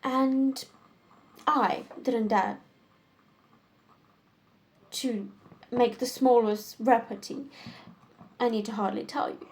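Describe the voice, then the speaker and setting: A young woman playing a witty, fussy upper-class society lady in a stage comedy monologue, deliberate and complaining. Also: on the high side, fairly even intonation, a little mellow. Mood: exasperated, arch.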